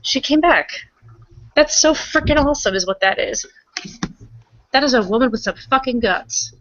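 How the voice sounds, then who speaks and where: A woman playing an outspoken, hopeful, excitable member of a werewolf pack in a tabletop roleplaying game. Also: sits high, normal speed, dull audio, slight background noise. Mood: admiring. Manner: emphatic, frank, casual.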